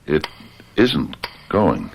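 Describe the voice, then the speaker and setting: An actor playing a guilt-ridden, anxious murderer in old-time radio drama style, halting and stammering as he repeats himself. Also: extremely low pitch, wildly swooping pitch, gravelly, muffled recording, a little hiss or hum, exaggerated voice. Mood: nervous, distracted. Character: guilt-ridden anxious man who has committed murder, confessing and faltering.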